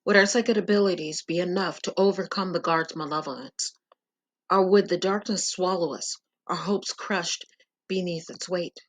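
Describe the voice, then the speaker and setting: A woman's voice: on the low side, normal speed, rough recording. Woman narrating suspenseful drama. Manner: earnest, suspenseful storytelling. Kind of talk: dramatic scripted first-person narration.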